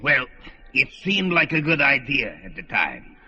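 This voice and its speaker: A cunning old wizard in a radio play, his voice sharp and bright with lots of swing in its pitch. He speaks with a sly, dry wit. The recording is dull and lo-fi, with music under it. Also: big pitch swings, unhurried, full character voice. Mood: sheepish. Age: older. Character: ancient wily male wizard, old father.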